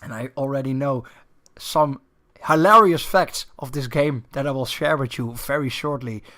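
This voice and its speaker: Bright-voiced man casting a game tournament. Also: mid-range voice, moderate pace. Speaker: esports tournament commentator and host. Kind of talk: live game commentary.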